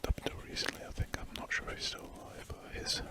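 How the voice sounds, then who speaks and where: A man whispering softly and rambling a little as he chats while drawing for an ASMR video, close to the mic indoors. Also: fast talker. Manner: soft rambling whisper with self-corrections. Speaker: ASMR drawing channel host.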